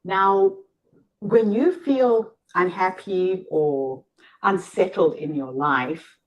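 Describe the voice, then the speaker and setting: A woman's voice: on the low side, drawn-out words, dull audio. A woman hosting an online interview, a Shona speaker using English as a second language, who asks careful questions and praises the answers. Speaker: interview host.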